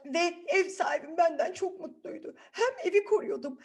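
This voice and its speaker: Woman playing a high, wailing old weary dog. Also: animated. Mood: plaintive, nostalgic. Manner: wailing, drawn-out moaning.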